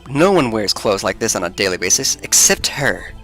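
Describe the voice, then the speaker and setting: A man's voice: lowish voice, sing-song, moderate pace, sharp tone, soft background music, voice pushed down, exaggerated voice. A man voicing a determined young male defense attorney in an assertive, argumentative tone.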